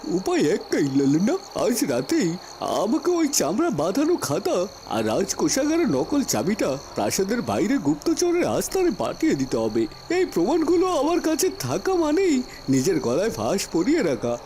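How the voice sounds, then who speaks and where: A man's voice: high voice, wildly swooping pitch, moderate pace, warm tone, slight background noise. A man playing a scheming, anxious royal minister in a fairy-tale cartoon, with a dramatic, emphatic delivery. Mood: anxious, conspiring.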